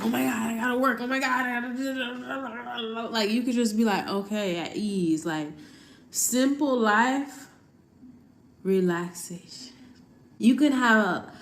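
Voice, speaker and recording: A woman chatting casually as a vlogger, animated and full of "like". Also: fairly high pitch. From United States. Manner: animated, heavy use of "like". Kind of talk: casual chat.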